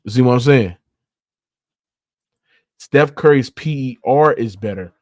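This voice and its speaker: A man's voice: medium pitch, slow pace, dry recording, studio quality. A man hosting a sports debate in slangy casual talk, insistent and argumentative, repeating his points and swearing freely. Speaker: sports debate show host.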